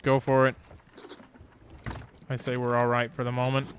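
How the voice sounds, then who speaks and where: A man's voice: mid-range voice, monotone, moderate pace, slight background noise. A man in his late twenties chatting casually while gaming with friends, swearing freely as he goes. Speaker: game streamer.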